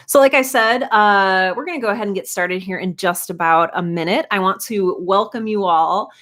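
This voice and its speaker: A woman's voice: mid-range voice, normal speed, a bit bright. A woman hosting a live stream, chatting casually and encouragingly as she greets viewers and reads out comments. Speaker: live stream host and writing teacher.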